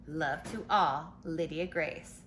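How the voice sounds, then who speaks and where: A woman's voice: sits low, slow, a bit bright, pitched below the performer's own voice. A woman reading a storybook in the part of a cheerful, eager young girl writing letters home. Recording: noticeable background noise.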